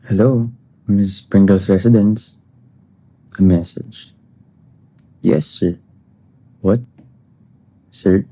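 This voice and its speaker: A formal society butler played by a man from a comic play. His voice is low and very dark and soft-edged. He speaks with stiff, servile courtesy. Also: fairly even intonation, slow pace, long pauses, a little hiss or hum. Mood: deferential. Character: formal, deferential male butler of a society household. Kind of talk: theatrical stage comedy acting.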